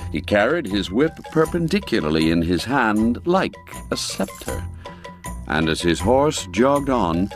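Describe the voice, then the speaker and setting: A man narrating a literary story as an audiobook, with a measured, expressive, old-fashioned storyteller's delivery. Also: fairly low pitch. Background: background music.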